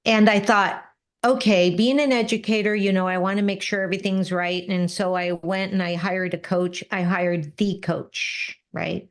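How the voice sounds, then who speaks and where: A woman's voice: medium pitch, fairly even intonation, moderate pace. A middle-aged woman talking in a podcast interview, earnest and reflective, often repeating words and adding "right?". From Mexican-American background. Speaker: podcast guest, business coach. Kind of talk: conversational interview.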